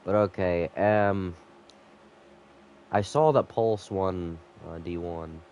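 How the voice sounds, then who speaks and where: A man's voice: deep voice, slow pace, a little mellow, highs cut off. A man sick with a cold, slightly nasal, rambling casually to viewers as he commentates a live game stream.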